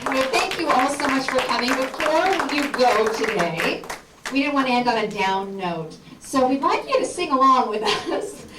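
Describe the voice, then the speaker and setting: A woman's voice: high voice, expressive, normal speed. A woman speaking as class instructor and host, making informal announcements to an audience in a community center room. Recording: echoey room, distant mic, clear noise.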